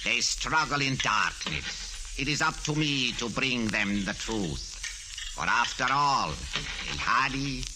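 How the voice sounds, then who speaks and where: An actor in 1940s radio style playing a megalomaniac cult villain, grandiose, pompous and coldly polite in formal, theatrical speech. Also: male voice, medium pitch, background noise. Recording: vintage 1940s radio with organ music.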